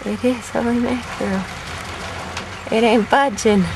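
Mom filming outdoors: fairly high, gravelly, casual, noisy home-video sound. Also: female voice, fairly even intonation, a little slow. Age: adult. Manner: exclaiming, switching between English and German. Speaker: mother filming her child. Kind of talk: casual family chat. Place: outdoors at a barn demolition site. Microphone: handheld home camcorder.

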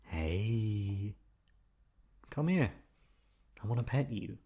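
A man's voice: lowish voice, animated, very slow, warm tone. A man acting as a teasing, affectionate boyfriend in an intimate ASMR roleplay, coaxing and pleading playfully. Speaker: voice actor performing an audio roleplay.

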